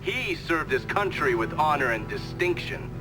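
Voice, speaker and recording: An actor playing an American CIA operative, giving clipped, matter-of-fact testimony in a game cutscene. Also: male voice, fairly high pitch, very animated, moderate pace, low-quality recording. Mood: firm, matter-of-fact. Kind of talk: formal hearing testimony, acted. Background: prominent music.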